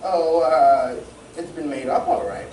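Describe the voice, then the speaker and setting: A woman's voice: low-pitched, lively intonation, unhurried, mellow. A woman playing a genial, proud scientist inventor, theatrical and emphatic, in an old-time radio serial reading. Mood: proud, explaining. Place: community playhouse stage, live reading. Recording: echoey, distant mic, faint noise.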